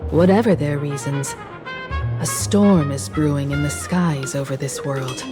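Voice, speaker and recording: A woman voicing a composed, wistful young woman in a game story, talking in a measured, reflective way. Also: low voice, music in the background. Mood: serious, resolved. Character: composed, wistful young woman, former scrappy martial artist student. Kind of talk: scripted game story dialogue.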